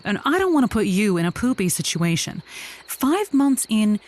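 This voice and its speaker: A woman's voice: mid-range voice, very animated, normal speed, a little mellow, close to an everyday voice. A woman playing an upbeat, encouraging advice host on an improvised comedy call-in show. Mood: warm, supportive. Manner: effusive, encouraging.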